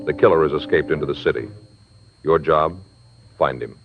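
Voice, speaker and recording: A man's voice: extremely low pitch, normal speed, gravelly. A man with an American accent delivering scripted, emphatic 1950s radio announcements. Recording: dull old radio recording with music.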